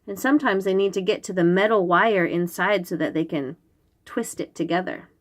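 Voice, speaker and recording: A woman's voice: lowish voice, moderate pace. A woman reading a picture book aloud to young children, explaining things simply and asking questions as she goes. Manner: instructive, questioning, enunciates key words for small children.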